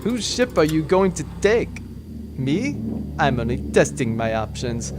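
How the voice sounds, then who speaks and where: A man's voice: on the high side, big pitch swings, unhurried, noisy background. A man playing a wry, scheming military officer and pilot in a sci-fi audio drama, dry and nonchalant. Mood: sly, smug.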